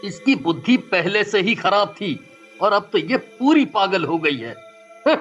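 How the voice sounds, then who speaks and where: A woman's voice: mid-range voice, very animated, unhurried, highs cut off, soft background music. A woman voicing an adult family man, matter-of-fact and dismissive, in a cartoon story.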